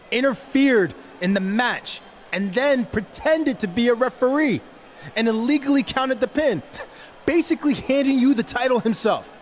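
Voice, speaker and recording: A man's voice: high voice, slight background noise. A man playing a resentful, sneering villain wrestler in a video game, delivering a taunting promo about his lost title. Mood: mocking.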